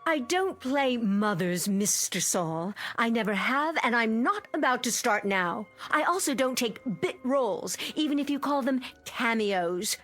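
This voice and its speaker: An actress playing a proud, wistful, temperamental middle-aged movie star in a mid-century television drama, with haughty, precise delivery. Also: female voice, on the high side, animated, unhurried, hardly any pauses, a bit bright. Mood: haughty, cutting. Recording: vintage TV soundtrack with music.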